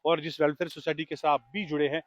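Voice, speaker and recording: Higher-voiced man hosting, dry sound. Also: flat delivery, fast talker, no room sound, muffled recording. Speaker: radio host presenting an appreciation award. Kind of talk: on-location interview and tribute speech.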